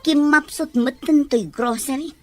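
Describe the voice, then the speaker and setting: A woman's voice: high voice, measured pace, a little mellow, soft background music. A woman playing a fretful, uneasy shopkeeper in an audio drama. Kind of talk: amateur audio drama acting.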